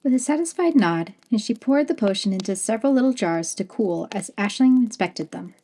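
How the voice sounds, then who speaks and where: A woman narrating a fantasy storybook at an easy, gentle pace. Her voice sits in an ordinary middle pitch. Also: lively intonation, a little fast. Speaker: audiobook narrator.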